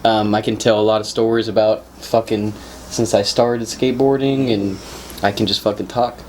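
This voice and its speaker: A man's voice: fairly low pitch, level tone, moderate pace, a little mellow, a little hiss or hum. A man guesting on a podcast, talking in a laid-back, jokey way and often laughing as he talks. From Japan. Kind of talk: casual chat.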